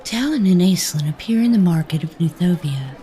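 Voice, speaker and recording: Very low-voiced woman narrating fantasy. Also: animated, fairly quick, mellow, a little hiss or hum. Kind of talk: scripted fantasy story narration. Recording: faint music behind the voice.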